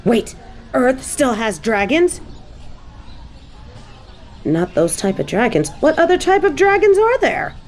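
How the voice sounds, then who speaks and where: A woman playing a snobby but secretly soft fallen angel in a scripted audio roleplay, with prim, formal phrasing. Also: sits high, very animated, slow pace, pauses often, heavily acted. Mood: haughty, suspicious.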